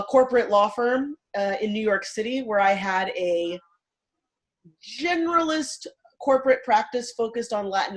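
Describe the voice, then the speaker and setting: A woman from California talks on a video call in a lively, quick manner. Her voice is fairly high. She tosses in quick asides as she goes. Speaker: law professor. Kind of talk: casual talk on a video call.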